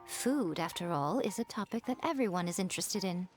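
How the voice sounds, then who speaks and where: A woman's voice: lowish voice, expressive, brisk, lightly acted. A woman voicing a sly, elegant fox-spirit lady in a video game, self-assured and teasing, with a poised, condescending way of talking. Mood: amused, approving. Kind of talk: video game character voice acting. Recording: background music.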